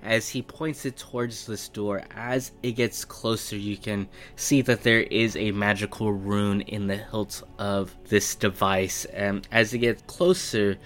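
A man narrating a tabletop game as game master, improvising descriptions in measured, halting phrases. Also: on the low side, a bit bright. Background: faint background music.

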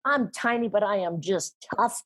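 A middle-aged woman with a slightly husky voice talks about sales with animated emphasis and frequent laughter. Her pitch sits in an ordinary middle range. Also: unhurried. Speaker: guest, sales trainer and speaker. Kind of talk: conversational interview.

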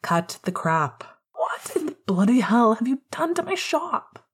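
A woman's voice: fairly high pitch, big pitch swings, unhurried, breathy edge, voice pushed up, exaggerated voice. A woman voicing a grumpy young male punk florist in a storybook reading, dry, sarcastic and exasperated.

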